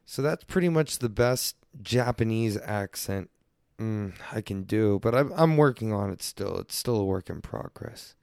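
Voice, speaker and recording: A man's voice: fairly low pitch, animated, unhurried, gravelly, darkish tone, clean tone, dry recording, studio quality. A man hosting a crude solo comedy podcast, rambling loosely and swearing freely. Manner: profane, loose and rambling, mock-defensive. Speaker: podcast host.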